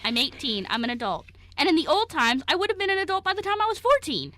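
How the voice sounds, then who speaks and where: A woman playing a cheeky, defiant eighteen-year-old queer young man in an amateur radio play, arguing in an animated way. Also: high-pitched, fast, heavily acted. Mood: earnest. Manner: animated, argumentative.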